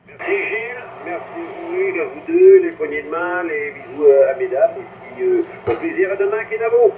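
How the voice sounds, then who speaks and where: A man on a CB radio giving warm, effusive farewells. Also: sits high, huge pitch swings, moderate pace. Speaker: CB radio caller. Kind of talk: casual radio sign-off. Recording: tinny CB radio transmission with faint noise.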